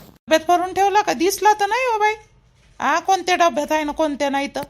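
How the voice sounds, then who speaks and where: A woman's voice: extremely high pitch, brisk. A woman with a Vidarbha Marathi accent playing a caring, fussy mother-in-law in a village cartoon, insistent and repeating herself in a homely way. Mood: fussy, coaxing.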